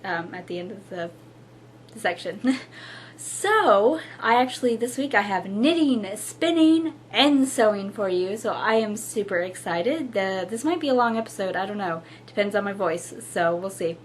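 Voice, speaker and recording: A hoarse young woman with a husky voice, chatting casually to camera as the host of a knitting show, with lots of fillers and little laughs. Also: medium pitch, lively intonation, normal speed, a little hiss or hum. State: hoarse, losing her voice.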